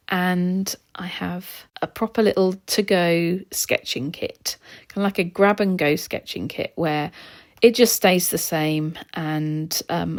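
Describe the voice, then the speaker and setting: A woman narrating her art vlog in a relaxed, chatty way, her voice slightly hoarse as she loses it. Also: lowish voice. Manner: chatty, relaxed, with soft laughs and ums. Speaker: art vlogger. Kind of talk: casual voice-over narration.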